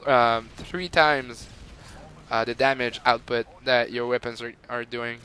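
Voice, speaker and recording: A man's voice: mid-range voice, fairly even intonation, a little slow, bright, forceful tone, a little hiss or hum. A young man with a Quebec French accent, rambling through casual gameplay commentary with lots of fillers. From Montreal, Canada. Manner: rambling and chatty, with frequent fillers and laughs. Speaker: gamer.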